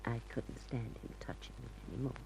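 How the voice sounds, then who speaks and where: Very low, dark-voiced femme fatale. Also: female voice, fairly quick, vocal fry, slight background noise. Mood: cool, guarded. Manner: dramatic, emotive. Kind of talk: 1950s radio crime drama acting. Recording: muffled, humming old radio recording.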